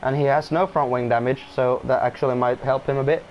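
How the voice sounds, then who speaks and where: A man's voice: mid-range voice, steady tone, fairly quick, dull audio, slight background noise. A man who is not a native English speaker commentating casually on an online race, often restarting sentences and apologizing. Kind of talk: casual live sim-racing commentary.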